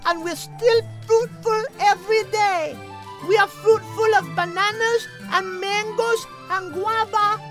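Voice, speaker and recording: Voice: extremely high pitch, fairly even intonation, takes it slowly. A performer voicing a small, cheerful, childlike monkey in a children's audio drama. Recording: jingly children's music underneath.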